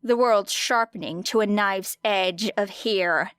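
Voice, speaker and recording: A woman reading an audiobook story dramatically, with deliberate, expressive phrasing and weighty pauses. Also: medium pitch, smooth, dry recording, clean studio sound. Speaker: audiobook narrator. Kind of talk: dramatic scripted fiction narration.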